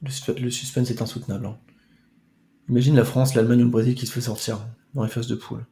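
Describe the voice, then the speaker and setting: A man chatting casually over a retro football game stream, full of playful, mock-dramatic asides. His voice is mellow and soft-edged. The room around him rings with echo. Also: mid-range voice, flat delivery, fast talker, vocal fry. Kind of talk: casual let's-play chat.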